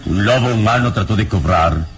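An actor playing a calm, courteous adventurer hero in a radio serial, speaking in a measured, suave and gently ironic way. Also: male voice, lowish voice, lightly acted. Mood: courteous, probing. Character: calm, courteous, all-knowing adult male adventurer hero. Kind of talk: Mexican radio serial acting.

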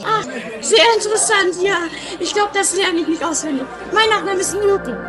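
A woman playing an excitable, chatty young woman in a comedy radio play, gushing and stammering dramatically. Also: very high voice, normal speed, over music, a crowd in the background. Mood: flustered, friendly.

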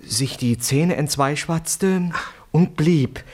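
A man's voice: fairly high pitch, slow, a little mellow. An actor playing a young male junior civil servant in a radio play, polite, earnest and defensive. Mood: earnest, reporting. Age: young adult. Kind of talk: German radio play acting.

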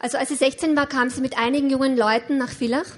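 Fast, high-voiced woman interpreter. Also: steady tone. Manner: quick, slightly improvised phrasing. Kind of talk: live sermon interpretation.

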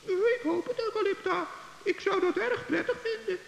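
An actor in a children's radio play voicing a small, kindly, trusting male forest gnome with a squeaky, creaky voice. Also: moderate pace, slight background noise, exaggerated voice. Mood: friendly. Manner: gentle, earnest, naive.

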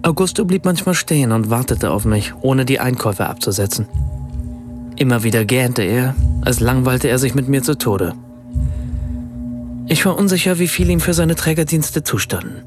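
A man's voice: medium pitch, lively intonation, moderate pace, over music, close to an everyday voice. A man narrating as a wry German writer. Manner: ironic, reflective. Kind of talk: scripted radio drama narration.

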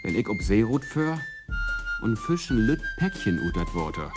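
An actor playing an evasive young male thief with a broad Low German accent in a radio play. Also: big pitch swings, normal speed, over music. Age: young adult. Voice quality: broad dialect speech. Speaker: young thief questioned by police. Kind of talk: radio drama acting.

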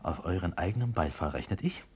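A man playing a condemned prince in a classical verse radio drama, anxious, stunned and proud, with a husky, breathy voice. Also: low voice, animated, fairly quick, darkish tone. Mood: stunned, pensive.

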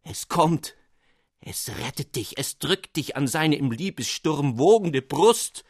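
A man's voice: on the high side, lively intonation, slow, dry recording, clean studio sound, pitched above the performer's own voice. A man voicing a lovesick young poet who writes in grandiloquent, swooning phrases. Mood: pathetic.

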